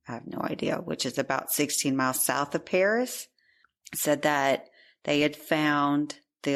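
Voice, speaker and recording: A woman with a low-pitched voice co-hosts a true crime podcast. She recounts the case in a chatty, conversational way, reading out the details as she goes. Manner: chatty, laughs easily. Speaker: podcast co-host.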